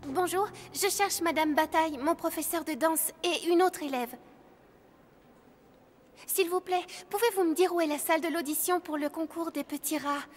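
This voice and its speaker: A woman's voice: extremely high pitch, normal speed, slight background noise. A woman voicing a spirited, defiant young ballerina in a French cartoon, outspoken, with a French accent. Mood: anxious, hurried. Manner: feisty, outspoken. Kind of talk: animated cartoon acting. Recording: loud music behind.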